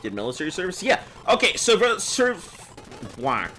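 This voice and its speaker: A man's voice: sits high, wildly swooping pitch, moderate pace, bright, forceful tone, slight background noise. A man in his thirties who sounds much younger, chatting casually on a game stream and rambling with fillers. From United States. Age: 30s.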